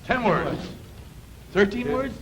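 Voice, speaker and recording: A man's voice: high-pitched, sing-song, takes it slowly, creaky, fairly bright tone, low-quality recording. A man on an old television game show panel, calling out short guesses in a game of charades. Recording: old TV soundtrack, audience noise. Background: studio audience.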